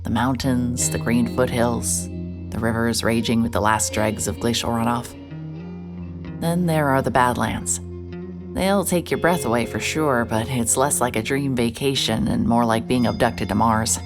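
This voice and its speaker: A woman's voice: rumbling low, fairly even intonation, measured pace. A woman narrating in scripted first person as a world-weary private investigator, dry and wry in tone. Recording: music bed under narration.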